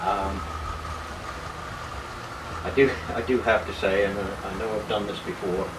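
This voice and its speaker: A man giving a casual, unscripted hobby vlog narration in a halting way, often repeating words. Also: lowish voice, steady tone, noisy background.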